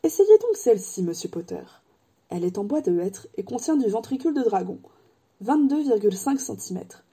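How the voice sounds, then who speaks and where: A woman's voice: on the high side, big pitch swings, brisk, dark tone, husky, heavily acted. A woman doing an eerie old wand maker. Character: eccentric old male wand maker, intense. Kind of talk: audiobook character voice.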